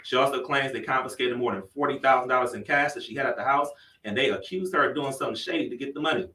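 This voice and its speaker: A man's voice: mid-range voice, fast, pushed and bright, roomy sound, recorded from a distance. Slangy thirties male podcast host. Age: 30s. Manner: playful, slangy storyteller. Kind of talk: casual chat and celebrity gossip segment.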